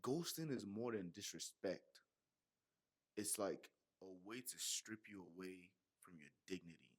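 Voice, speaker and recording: A man's voice: low voice, measured pace. Casual young man with a Yoruba accent. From Nigeria. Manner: animated slangy storytelling, many fillers. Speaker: vlogger. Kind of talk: casual monologue to camera.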